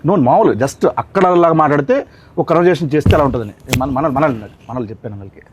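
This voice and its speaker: A man's voice: a little high, expressive, fast talker, slight background noise. A man with a Telugu accent hosting a playful celebrity interview in a bumbling way, tripping over foreign words. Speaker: comic interviewer.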